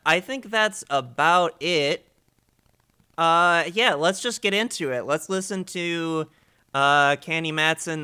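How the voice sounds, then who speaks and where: A man hosting a casual livestream in a crisp, bright voice, pitched a bit high. He is informal and enthusiastic as he chats and introduces things. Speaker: host of a listening livestream.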